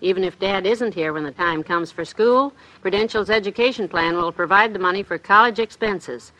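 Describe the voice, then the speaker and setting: A woman with a bright voice at middling pitch reads a radio advertisement. She sounds scripted but conversational, explaining as a spokeswoman. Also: normal speed, poor audio. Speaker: sponsor spokeswoman.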